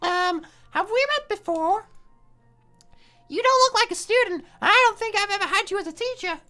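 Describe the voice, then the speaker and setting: An anxious teenage anime schoolgirl from a visual novel game. The voice is extremely high and bright, with overdramatic, bubbly delivery and an easily flustered temper. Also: normal speed, soft background music. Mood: confused.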